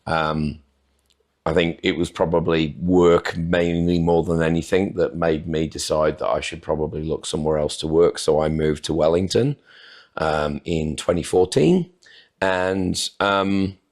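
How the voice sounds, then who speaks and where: A man in his forties from North Wales, talking unhurriedly and a little self-deprecatingly as a podcast guest. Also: sits low. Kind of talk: casual interview chat.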